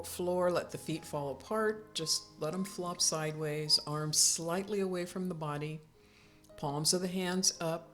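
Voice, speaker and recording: Low calm woman doing a sleep meditation, really slow, soft music behind her. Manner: calm, soothing, unhurried instructions with pauses. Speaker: yoga nidra instructor. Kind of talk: guided relaxation meditation.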